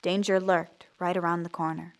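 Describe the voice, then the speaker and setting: A woman's voice: on the low side, flat delivery, moderate pace, a little hiss or hum, natural voice. A woman playing a determined young zombie girl in an audio drama, earnest and theatrical, both in dialogue and first-person narration. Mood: earnest narrating. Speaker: lead character and narrator.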